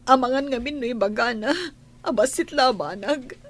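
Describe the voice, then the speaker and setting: A woman's voice: fairly high pitch, normal speed, lightly acted. A woman acting a hurt, anxious young wife in a radio drama, plaintive and questioning, with an Ilocano accent. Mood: tearful, worried.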